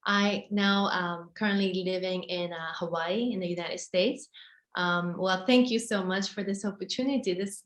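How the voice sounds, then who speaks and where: Halting woman. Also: medium pitch. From Japan. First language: Japanese. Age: 30s. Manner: soft-spoken, halting, frequent fillers and restarts. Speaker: interviewee, model and author. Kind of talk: casual interview answers. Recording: roomy echo.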